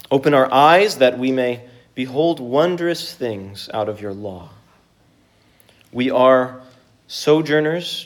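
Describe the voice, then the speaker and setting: Earnest man preaching a sermon. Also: mid-range voice. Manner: earnest, measured preaching with pauses. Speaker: pastor. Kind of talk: sermon with scripture reading and prayer.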